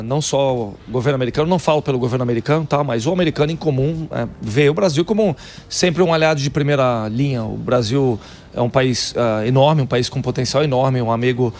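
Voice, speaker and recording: A middle-aged man, speaking as a guest on a radio talk show. He walks through facts and lists one by one at a medium pitch, padding his points with fillers. A faint hiss of noise sits behind his voice. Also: a little mellow. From Brazil, São Paulo. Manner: explanatory, fact-listing, with frequent fillers. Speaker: guest, city council member in Texas.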